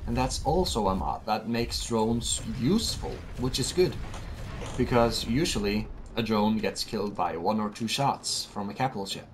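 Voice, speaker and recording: A man's voice: lowish voice, animated, moderate pace, slight background noise. A young man from Norway with a Norwegian accent, giving chatty, enthusiastic gameplay commentary and often repeating himself. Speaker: let's play host.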